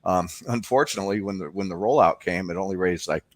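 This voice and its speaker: A man with a low-pitched voice talks as a guest in a conversational interview. He explains things informally, and the sound is dry and close, without room echo. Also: fast.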